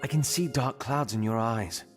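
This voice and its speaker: An actor playing a steady investigator in a fantasy video game, measured, earnest and authoritative. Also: male voice, medium pitch, faint music behind, lightly acted. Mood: concerned. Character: steady, commanding male investigator in fantasy city. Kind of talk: dramatic video game voice acting.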